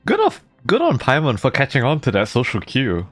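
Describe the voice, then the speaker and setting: A man's voice: mid-range voice, huge pitch swings, a little fast, fairly bright tone, faint music behind. A man giving casual reaction commentary while he plays a game as a streamer.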